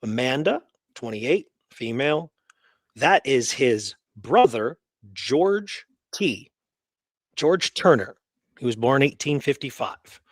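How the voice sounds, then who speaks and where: A man's voice: medium pitch, expressive, slow pace, dry recording. A man co-hosting a casual history talk, going through research notes conversationally and often checking that his listener follows. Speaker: co-host presenting historical research.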